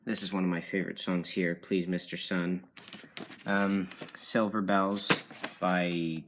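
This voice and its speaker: Male hobbyist collector, low register, hesitant off-the-cuff delivery, slightly noisy handheld phone sound. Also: level tone, unhurried. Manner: hesitant, unsure, self-correcting. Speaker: record collector showing his collection. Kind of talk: casual rambling show-and-tell. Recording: noisy amateur phone video with clicks.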